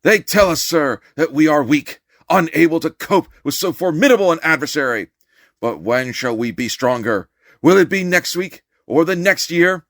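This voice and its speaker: A man's voice: a little high, lively intonation, moderate pace, a bit bright, dry recording, studio quality. A man performing a fervent American revolutionary orator in a dramatic reading, with an impassioned, declamatory, emphatic delivery. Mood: stirring, resolute. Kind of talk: dramatic reading of an 18th-century political speech.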